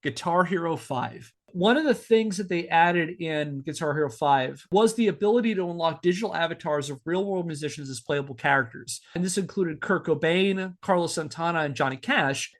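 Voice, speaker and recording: A man hosting a podcast, reading scripted narration with casual asides in a wry, emphatic storytelling manner. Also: fairly high pitch. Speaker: podcast host.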